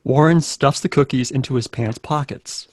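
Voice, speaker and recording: A man narrating stage directions and hosting a table read in a low-key, casual way. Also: normal speed, no room sound, clean studio sound.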